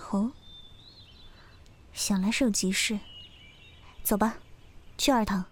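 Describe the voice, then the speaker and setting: A woman playing a young lady of the house in a Chinese costume audio drama, polite, measured and formal. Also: medium pitch, slight background noise, lightly acted. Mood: calm. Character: composed, gracious young gentlewoman, mistress of the house.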